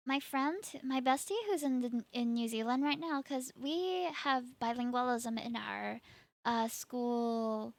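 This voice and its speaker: A woman's voice: on the high side, unhurried, gravelly, no room sound. A woman from Singapore, hosting a stream and chatting casually, laughing often. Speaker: streamer host. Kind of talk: casual chat.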